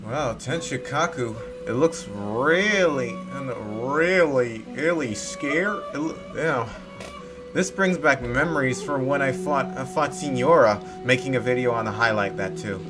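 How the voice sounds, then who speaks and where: A man giving casual commentary as he plays through a game, halting and often repeating his phrases. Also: medium pitch. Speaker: gaming streamer. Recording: game music behind the voice.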